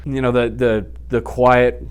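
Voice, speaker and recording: A man, chatting casually off the cuff in a slangy, animated manner as an interview guest. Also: medium pitch, unhurried, clean tone. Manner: animated, slangy, blurts sound effects. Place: small indoor office, seated.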